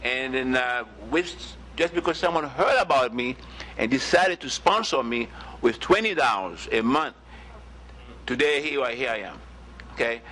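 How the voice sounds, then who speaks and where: A man's voice: mid-range voice, expressive, measured pace, bright, forceful tone, a little hiss or hum, poor audio. Earnest Haitian man at a forum. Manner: earnest, repeats himself, checks in with "okay?". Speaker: audience member asking a question. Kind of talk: public forum remarks.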